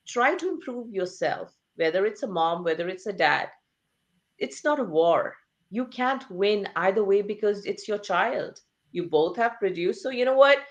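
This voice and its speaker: A woman author speaking earnestly in an interview, stressing points with repetition and rhetorical questions. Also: mid-range voice. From Asia. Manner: repeats phrases for emphasis. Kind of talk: conversational interview.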